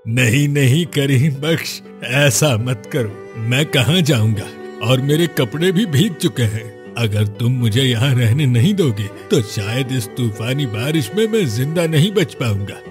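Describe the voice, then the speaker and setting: A man's voice: medium pitch, expressive, measured pace, lightly acted. A wretched, feverish village man, acted by a male performer, homeless and begging. His mid-range voice pleads desperately in a cartoon moral story, over faint music.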